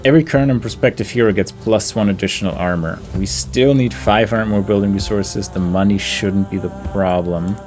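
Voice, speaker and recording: A man's voice: fairly low pitch, a little fast, few pauses, darkish tone. A man giving casual game commentary, deliberating aloud and talking to himself and to the characters as he plays. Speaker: gaming video host. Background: game music behind the voice.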